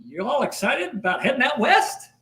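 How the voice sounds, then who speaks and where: Very high male voice, lively intonation, man acting breezy small-town postman, cheery, teasing, sitcom table read. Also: normal speed, full character voice, poor audio. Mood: breezy, jovial. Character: Warren, breezy, jovial small-town mail carrier.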